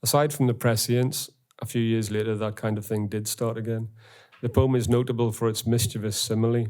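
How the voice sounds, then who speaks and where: Low, flat-voiced Northern Irish man lecturing. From Northern Ireland. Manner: measured, reads quotations slowly. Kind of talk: academic lecture reading poems aloud. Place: university auditorium.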